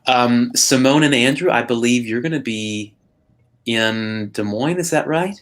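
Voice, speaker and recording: A man hosting a casual group chat, speaking haltingly and often repeating and rephrasing his words. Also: mid-range voice. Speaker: host, opera maestro.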